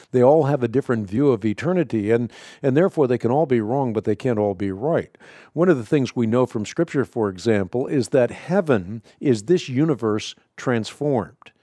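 A man's voice: medium pitch, warm tone, no echo. A middle-aged man, a native Dutch speaker, hosting a radio call-in show and answering Bible questions in a precise, lecturing, emphatic way. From Netherlands.

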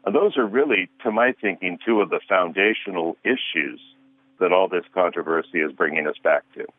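A man's voice: low-pitched, a little fast, no echo. A man in his sixties, a guest counselor on a radio show, arguing earnestly through rhetorical questions over the phone. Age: 60s. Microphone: telephone. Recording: tinny phone line.

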